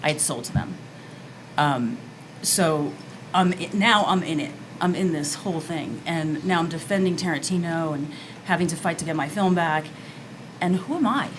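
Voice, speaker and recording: A woman's voice: deep voice, normal speed, noisy background. A woman from New Jersey, a filmmaker talking candidly in a roundtable, earnest, emphatic and conversational. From New Jersey, United States. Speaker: documentary filmmaker on a panel. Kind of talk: candid personal roundtable talk.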